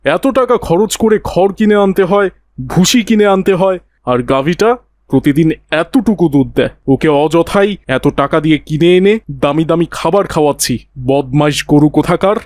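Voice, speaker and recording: A man's voice: a little high, moderate pace, a little mellow, dry recording, natural voice. A man voicing a stern, irritable village father in a cartoon, with a grumbling, scolding way of talking. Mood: angry, grumbling. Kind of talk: cartoon voice acting.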